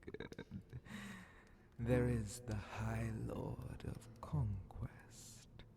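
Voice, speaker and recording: A man voicing a sly, menacing demon grand vizier in an ASMR fantasy horror roleplay, mockingly polite and theatrical, often dropping into a breathy whisper. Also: lowish voice, fairly even intonation, full character voice. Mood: sly, measured. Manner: slow, theatrical, condescending, half-whispered menace.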